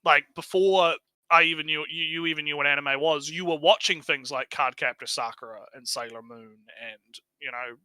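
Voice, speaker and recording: A man with a fairly high, piercingly bright voice hosts a casual critique stream. He is blunt and sweary, with a mocking edge. The sound is very dry, with hardly any room on it. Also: normal speed, clean tone, no echo, low-quality recording. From New Zealand. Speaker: stream host. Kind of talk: casual critical commentary on a video.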